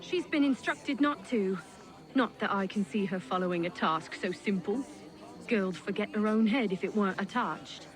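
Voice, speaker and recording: A woman's voice: mid-range voice, moderate pace, lightly acted. A woman playing a prim, fussy and dismissive townswoman in a video game. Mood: fussy disapproval. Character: prim, scolding townswoman, disdainful festival official. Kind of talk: video game character dialogue.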